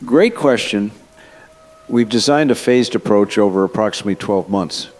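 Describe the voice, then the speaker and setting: A man's voice: fairly low pitch, expressive, moderate pace, a little mellow, poor audio. A man playing a confident, earnest scientist being interviewed in an audio drama, explaining himself in an assured, persuasive way. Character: male scientist, confident, earnest architect of AI system. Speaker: interviewed expert.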